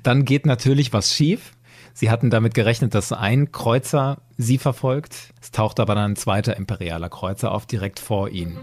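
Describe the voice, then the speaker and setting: A man's voice: on the low side, normal speed, darkish tone. A man hosting a podcast, retelling a story in a conversational way. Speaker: podcast host.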